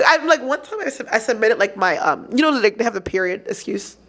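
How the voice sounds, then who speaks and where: Fast, animated young woman telling a story. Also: fairly high pitch. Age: young adult. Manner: animated, exasperated, heavy use of "like". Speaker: podcast guest. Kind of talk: casual storytelling chat.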